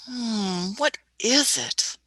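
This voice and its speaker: A woman's voice: fairly high pitch, expressive, extremely slow, fairly bright tone, no room sound, exaggerated voice. A woman playing an eccentric, curious elderly time traveller who mutters and hums while she thinks, in an amateur radio play. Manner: muttering hmms, musing, hesitant restarts.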